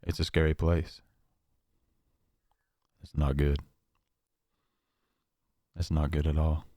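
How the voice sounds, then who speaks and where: Man playing a haunted survivor, very deep, flat voice. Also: no room sound, studio quality, heavily acted. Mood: fearful, pleading. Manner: halting, haunted, confessional, with long pauses. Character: shaken, paranoid male survivor of a nightmare realm. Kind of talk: found audio log horror monologue.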